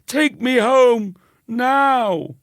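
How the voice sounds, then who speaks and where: A man's voice: extremely high pitch, drawn-out words, a bit bright, no echo, studio quality, pitched above the performer's own voice, full character voice. A man voicing a panicky English boy who sobs, stutters and shrieks in terror in a storybook reading. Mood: sobbing outrage. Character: terrified English boy cousin, sobbing and shrieking about spiders.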